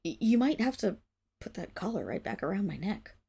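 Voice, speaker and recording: A young woman playing a proud, flustered noble Fenrir monstergirl in an ASMR roleplay, speaking formally with stammers and breathy, whispered dips. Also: mid-range voice, big pitch swings, a little fast, dark tone, heavily acted. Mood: subdued, resigned. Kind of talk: ASMR roleplay acting, addressed to the listener.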